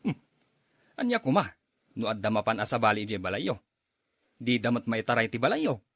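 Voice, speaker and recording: A man's voice: medium pitch, very animated, normal speed, fairly bright tone, no echo, studio quality. A man playing an easygoing drinking buddy who gives frank advice in a radio drama, talking casually. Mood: casual, advising.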